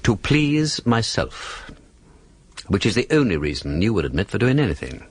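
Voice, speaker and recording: A man playing a mysterious, teasing gentleman sleuth in a period radio drama, arch and sardonic, with an upper-class English accent and a husky, breathy voice. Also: lowish voice, big pitch swings, normal speed, a little hiss or hum. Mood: mocking.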